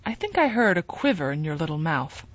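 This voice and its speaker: A woman reading a gentle, reasonable young man in a calm, measured way. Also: low voice, breathy edge, no echo, dull audio, heavily acted.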